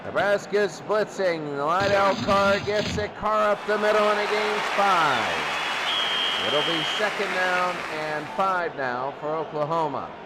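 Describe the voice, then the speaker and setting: Man calling football, high voice, noisy. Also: takes it slowly. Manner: explains rules plainly, then calls plays in an energetic radio-announcer voice. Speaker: hobbyist game host and play-by-play announcer. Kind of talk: simulated old-time radio football play-by-play.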